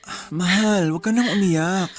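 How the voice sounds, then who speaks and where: A man playing a gentle, apologetic young husband in a Filipino radio drama, hesitant and placating, with a Filipino accent. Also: sits high, measured pace. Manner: hesitant, placating, soft-hearted.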